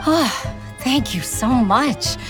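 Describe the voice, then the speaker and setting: An actress playing an earnest, courteous young government clerk in a video game, speaking politely. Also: female voice, fairly high pitch, lively intonation, very slow. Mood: grateful, relieved. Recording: background music under the voice.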